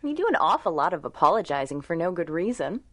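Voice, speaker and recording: An actress playing a sweet, caring young girlfriend in a 1930s radio drama, chatting in a folksy, gently teasing way. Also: on the low side, sing-song, fast, no room sound. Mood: teasing, chatty.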